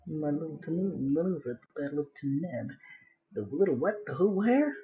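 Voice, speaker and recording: A man's voice: a little high, animated, moderate pace, muffled recording, a little hiss or hum. A man thinking aloud casually as he sketches on a live stream, muttering to himself with little sing-song filler noises. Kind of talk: casual thinking-aloud commentary while drawing.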